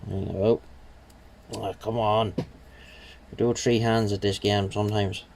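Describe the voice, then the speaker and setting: A man's voice: fairly low pitch, level tone, creaky, a little mellow, a little hiss or hum. A man giving a casual, hesitant running commentary as he works on a repair, muttering to himself at times. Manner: unhurried, muttering, hesitant while working. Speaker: hobbyist radio restorer.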